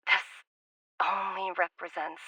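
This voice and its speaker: A woman playing a composed, formal subordinate in a video game, speaking in a measured, detached way. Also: lowish voice, flat delivery, bright, forceful tone, husky, dry recording, full character voice. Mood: cool, composed. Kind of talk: cinematic game voice acting.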